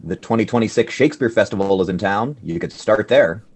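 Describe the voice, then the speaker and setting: Casting: man as dry, incredulous scholar for radio drama, lowish husky voice with very lively swings. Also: a little fast. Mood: exasperated. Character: exasperated, bookish male literature expert. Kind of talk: radio play dialogue.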